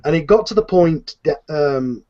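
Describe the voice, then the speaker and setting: A man's voice: on the high side, lively intonation, normal speed, warm tone. A man who writes an audio drama, talking enthusiastically in a casual panel chat, rambling with many fillers and restarts. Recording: muffled lo-fi recording.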